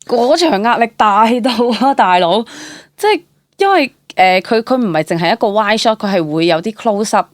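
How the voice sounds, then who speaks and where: A young woman with a Hong Kong Cantonese accent chats as an interview guest, telling stories in an animated, candid way and dropping in English words. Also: medium pitch, moderate pace, no room sound, studio quality. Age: young adult. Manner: animated, chatty, mixes English words into speech. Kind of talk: casual, candid storytelling.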